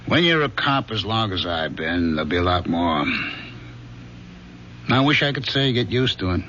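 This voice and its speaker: A world-weary police lieutenant. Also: male voice, low-pitched, big pitch swings, moderate pace, sharp tone, heavily acted. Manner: firm, dry, world-weary. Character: tough, fatherly middle-aged male police lieutenant. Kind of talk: 1970s radio drama acting. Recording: dull old radio broadcast with faint hiss.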